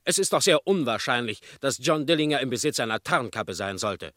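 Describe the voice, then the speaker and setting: An actor playing a formal newsreader reading press reports in a radio drama. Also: male voice, mid-range voice, expressive, fairly quick, fairly bright tone, dry recording, studio quality, natural voice. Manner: formal, declamatory.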